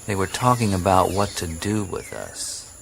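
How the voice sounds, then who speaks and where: An actor playing a blunt, skeptical companion in a radio drama, with a sarcastic edge. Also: male voice, sits low, big pitch swings, normal speed, gravelly, noisy background, full character voice. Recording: jungle ambience with insects and birds.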